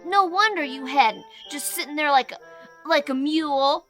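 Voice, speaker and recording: A woman's voice: sits high, a bit bright, soft background music. A woman playing a mischievous, defiant young country boy in an audiobook story, talking in a childish, emphatic way. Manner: childish, emphatic, drawn-out retorts. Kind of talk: audiobook dramatization of a classic novel.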